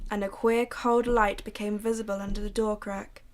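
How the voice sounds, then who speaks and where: A woman narrating a radio drama in a low, hushed storytelling manner. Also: flat delivery, normal speed.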